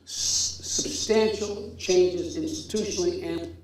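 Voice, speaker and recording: A man's voice: high-pitched, steady tone, slow, very echoey, slight background noise. An older man boastfully recounting a story, quoting himself, in a distant archival clip.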